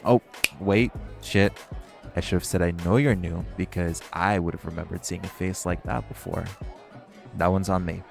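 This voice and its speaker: A man playing a smug, hungry, flirtatious vampire in an audio roleplay, speaking with teasing theatrical flair in a gravelly voice. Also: low voice, fairly even intonation, moderate pace, music in the background. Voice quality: gravelly, creaky voice. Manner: teasing, theatrical, swearing, sighing. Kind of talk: audio roleplay monologue to the listener.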